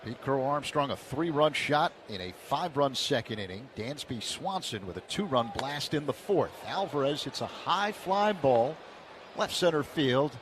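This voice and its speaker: A man calling live baseball play-by-play on radio, brisk and steady pitch by pitch. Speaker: play-by-play announcer. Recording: noisy radio broadcast.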